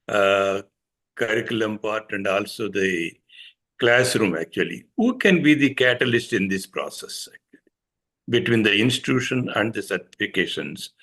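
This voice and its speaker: A man moderating a conference panel, speaking haltingly with many fillers and a habit of repeating "actually". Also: fairly low pitch, fairly bright tone.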